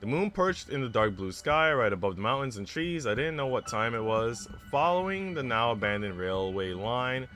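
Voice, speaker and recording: A man talks and narrates as a game streamer, with a mid-pitched voice that moves around expressively. His delivery is conversational and musing, shifting into storybook-like reading of a visual novel. Music plays behind him. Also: expressive, moderate pace, hardly any pauses, fairly bright tone. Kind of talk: casual livestream chat mixed with expressive story narration. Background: background music.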